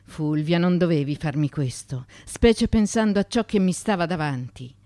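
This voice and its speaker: A woman's voice: sits low, normal speed, warm tone, dry recording, clean studio sound, lightly acted. A woman voicing a tormented young partisan, feverish and desperately in love, in an anguished, emphatic dramatic monologue. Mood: anguished longing. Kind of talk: dramatic monologue from a literary reading.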